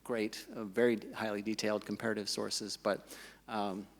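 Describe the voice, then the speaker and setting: A man speaking on a conference panel as a journal editor, halting with many fillers. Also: lowish voice, flat delivery, creaky, in a large hall. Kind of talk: panel discussion.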